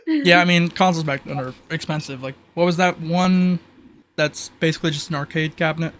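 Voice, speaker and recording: Casual male chatter, somewhat high-pitched, light background noise. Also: steady tone, fairly quick, a bit bright. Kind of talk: casual group chat.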